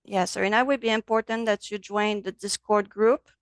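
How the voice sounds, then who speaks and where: A woman with a French accent, a native French speaker, teaching a relaxed online software class over a video call. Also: medium pitch, fairly quick, smooth, no echo. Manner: friendly, loose, switches between English and French. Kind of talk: casual online class walkthrough.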